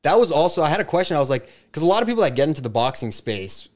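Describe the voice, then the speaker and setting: A man's voice: on the high side, sing-song, very fast. A male podcast host chatting casually and playfully with a guest, using easy slang. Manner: playful, laughs easily, slangy.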